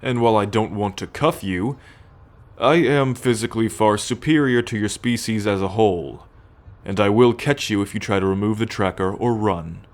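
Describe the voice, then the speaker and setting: A low-pitched, haughty alien military captor, acted out by a man for an ASMR roleplay. He talks in measured, imperious phrases, musing and sighing, with a teasing possessiveness and cool menace toward his captive. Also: lightly acted. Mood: teasing, possessive. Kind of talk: ASMR roleplay acting, addressed to the listener.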